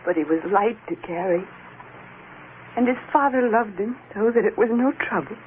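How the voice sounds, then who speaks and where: A woman's voice: mid-range voice, normal speed, natural voice. An actress playing a tender, grieving mother in a sorrowful vintage radio drama style. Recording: old narrow-band radio, faint noise.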